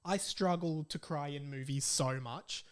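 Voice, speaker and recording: A man's voice: on the high side, measured pace. Young Australian man, casual podcast host. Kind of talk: casual solo podcast chat.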